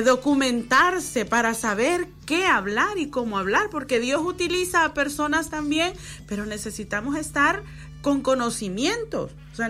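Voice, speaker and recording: A woman's voice: high voice, bright, forceful tone, a little hiss or hum. Woman host, affirming. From El Salvador. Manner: reflective, frequent affirming backchannels. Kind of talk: conversational interview.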